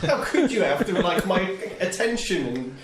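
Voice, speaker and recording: Animated male gamer, distant and echoey. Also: sits high, normal speed, over a noisy crowd. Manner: mischievous, chuckling. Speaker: tabletop game player. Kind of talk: casual playful game chat. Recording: distant, echoey room with clear noise.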